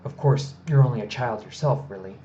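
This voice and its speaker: A man's voice: mid-range voice, fairly quick, mellow, roomy sound, close to an everyday voice. A man voicing a folksy, pompous town mayor and father in a children's storybook. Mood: self-satisfied. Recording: slightly noisy, dull home recording.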